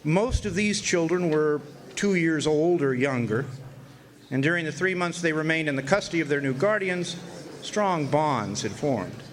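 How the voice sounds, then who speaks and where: A man's voice: on the high side, animated, close to an everyday voice. An actor playing a wry, folksy older man who narrates his Arizona orphan boyhood in a radio drama. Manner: folksy, wry, drawn-out storytelling. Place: live stage performance in a hotel.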